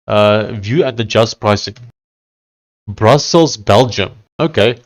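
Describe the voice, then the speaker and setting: A man's voice: fairly low pitch, takes it slowly. Hesitant man with a thick Afrikaans accent. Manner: thinking aloud, hesitant with many fillers and restarts. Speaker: live-streaming software developer. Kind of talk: casual live stream chat while coding.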